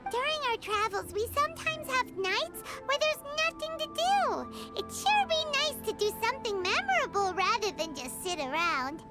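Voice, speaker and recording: This is a tiny, excitable fairy companion girl who refers to herself in the third person. Her voice sits extremely high and leaps around with lively, animated pitch. Loud background music from the game plays throughout the cutscene. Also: normal speed, hardly any pauses. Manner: talks about herself in third person, eager and pushy. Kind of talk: video game voice acting.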